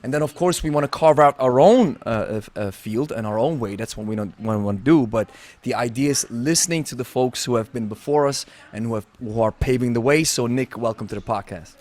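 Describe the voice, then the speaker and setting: A man hosting a podcast interview, speaking in a friendly, halting way with many pauses. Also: mid-range voice, darkish tone. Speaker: podcast host.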